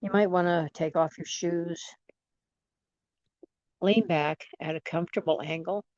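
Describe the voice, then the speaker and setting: A woman leading guided imagery in a soothing, gentle manner, leaving long pauses between phrases. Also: lowish voice, steady tone, no room sound, muffled recording. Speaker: guided meditation leader.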